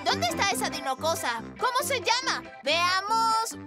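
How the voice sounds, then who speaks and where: Excitable high-voiced little bear cub. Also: measured pace, full character voice. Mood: bossy, eager. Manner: exclamatory, dramatic. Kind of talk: children's cartoon dubbing. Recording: voice over children's cartoon music.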